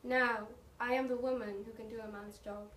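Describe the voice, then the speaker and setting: Woman playing wartime postwoman, measured slam poetry, echoey room, faint noise. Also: fairly high pitch, fairly even intonation, a bit bright, lightly acted. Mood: reflective, indignant. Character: thoughtful, proud mother working as wartime postwoman. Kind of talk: dramatic slam poetry recital. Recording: noisy, echoey room, mic far off.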